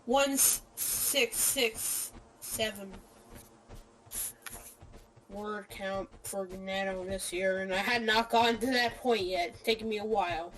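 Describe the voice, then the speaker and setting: A woman's voice: mid-range voice, slow pace, a bit bright, rough recording. A woman chatting casually over a voice call, talking in a hesitant, rambling way. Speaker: friend chatting with the player over voice chat. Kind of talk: casual chat. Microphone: voice chat call.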